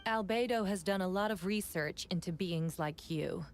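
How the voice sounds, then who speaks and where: A woman's voice: lowish voice, normal speed, soft background music, lightly acted. A woman playing an indignant, accusatory impostor knight in a video game, with theatrical, pointed delivery. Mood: cold, challenging.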